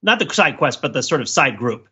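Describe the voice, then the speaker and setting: A man co-hosting a casual film discussion podcast, thinking aloud in a halting, husky voice. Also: medium pitch, sing-song, sharp tone. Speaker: podcast co-host. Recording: slightly dull, lo-fi audio.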